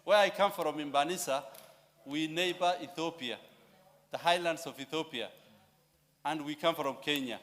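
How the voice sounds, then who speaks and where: A man addressing parliament with an animated, anecdotal floor speech and loud bursts. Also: a little high, moderate pace, long pauses. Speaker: member of parliament. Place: large parliamentary chamber. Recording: chamber echo with faint hum.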